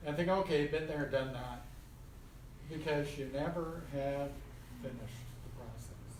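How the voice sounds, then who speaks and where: A man's voice: fairly high pitch, a little slow, a little mellow. A man giving an informal spiritual talk to a small group in a folksy, colloquial way, repeating phrases for emphasis. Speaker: spiritual teacher. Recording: roomy, distant mic with faint noise.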